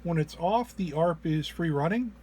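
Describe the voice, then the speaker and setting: A man who sounds under the weather gives an informal tutorial walk-through in a low-energy, hesitant, halting way. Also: lively intonation, dark tone, faint music behind. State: under the weather, often clearing throat. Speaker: presenter of a music effects patch walk-through.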